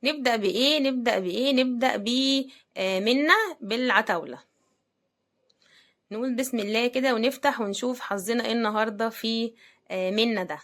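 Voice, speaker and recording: Higher-pitched Egyptian woman, casual unboxing chat. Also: a little fast, pauses often. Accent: Egyptian Arabic. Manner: chatty, addresses viewers directly. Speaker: host of product unboxing videos. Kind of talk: casual unboxing commentary to viewers.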